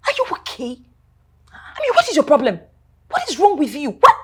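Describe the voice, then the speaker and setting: A woman's voice: high-pitched, wildly swooping pitch, normal speed, very noisy. An actress with a Nigerian accent playing a haughty, scheming, hot-tempered young heiress in a film drama, emphatic and repeating her phrases. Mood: angry, flustered. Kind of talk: melodramatic film acting.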